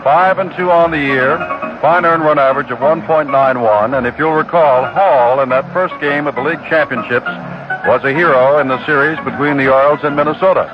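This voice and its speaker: A man giving a steady, informative recap of the game situation on a 1969 radio baseball broadcast. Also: a little high, a little fast, muffled recording, over music. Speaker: radio announcer.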